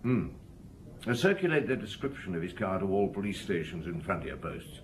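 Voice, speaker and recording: An actor playing a courteous, gruffly patient middle-aged chief inspector in an audio drama, asking questions with polite hesitation. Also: male voice, sits low, very animated, moderate pace, a little hiss or hum. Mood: businesslike.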